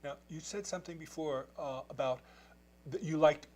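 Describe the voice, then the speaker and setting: Hesitant higher-voiced male interviewer. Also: normal speed, darkish tone. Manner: hesitant, rambling questions with many restarts. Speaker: TV program host. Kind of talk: interview questions. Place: television studio.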